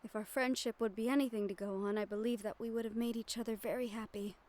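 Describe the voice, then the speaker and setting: Gentle high-voiced noblewoman in fantasy romance, acted by a woman. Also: fairly even intonation, lightly acted. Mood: wistful, regretful. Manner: soft, formal, measured diction with tender pauses. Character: gentle young noblewoman ward, wistful, formal, secretly smitten. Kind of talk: romantic fantasy audio roleplay.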